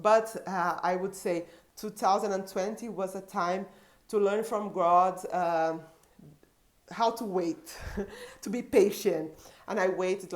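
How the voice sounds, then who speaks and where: A woman in her thirties speaks English with a Brazilian Portuguese accent. She tells her personal testimony earnestly, pausing and restarting her sentences often. Her voice sits at a middling pitch. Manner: earnest, halting, with many restarts. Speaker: missionary and social worker.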